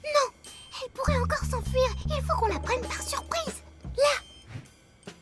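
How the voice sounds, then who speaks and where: A woman voicing a quick-thinking, determined young schoolgirl in a cartoon, decisive and urgent. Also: very high-pitched. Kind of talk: animated cartoon voice acting. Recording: loud music behind the voice.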